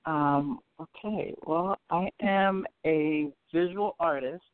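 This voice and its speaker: A man's voice: fairly high pitch, slow pace, no room sound. A man from Haiti, a guest artist, talking casually in an interview over a call, hesitant with many fillers and restarts, laughing easily. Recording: tinny phone line.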